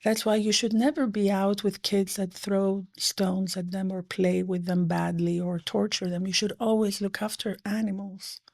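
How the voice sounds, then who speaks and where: A middle-aged woman with a gravelly, creaky edge to her voice, whose first language was French. She tells stories in quick, animated bursts and drops to a soft, near-whispered hush when quoting someone. Her voice sits in a middle range. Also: mid-range voice. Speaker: guest, economist. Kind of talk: conversational interview.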